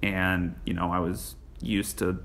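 A man answering podcast interview questions in a halting way, full of restarts and fillers. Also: low-pitched, flat delivery, creaky, fairly bright tone, slight background noise. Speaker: interview guest.

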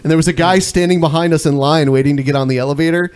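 A man with a somewhat high voice hosts a casual live stream. He talks bluntly and swears freely, like someone chatting with regular listeners. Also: fairly even intonation, very fast, a little mellow, clear, resonant tone. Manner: blunt, profane. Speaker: podcast host.

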